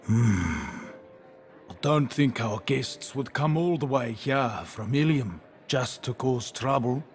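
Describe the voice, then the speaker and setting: An actor playing a suspicious, wealthy male crime boss in a video game, measured and falsely courteous. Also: medium pitch, lively intonation. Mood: suspicious, haughty. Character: smooth, suspicious wealthy crime-boss host, coolly menacing. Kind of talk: video game villain acting.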